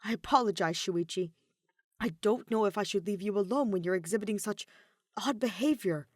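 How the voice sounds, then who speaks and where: A woman's voice: medium pitch, a little fast, a little mellow, no echo, clean studio sound, natural voice. A woman voicing a fretful, earnest young male robot who talks politely and protectively in an audio story. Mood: stubborn concern. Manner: formal, worrying, stubbornly protective.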